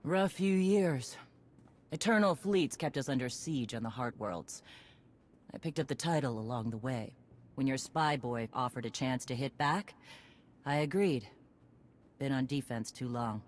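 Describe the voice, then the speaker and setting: A woman voicing a blunt, battle-hardened warlord who talks in short, gruff bursts in a game cutscene. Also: extremely low pitch, unhurried. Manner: clipped, gruff, terse.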